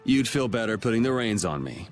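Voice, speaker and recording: A man's voice: fairly low pitch, sing-song, fairly quick, faint music behind. An actor playing a laid-back young swordsman in a video game, speaking in a casual, dry, teasing way. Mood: wry. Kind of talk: video game cutscene acting.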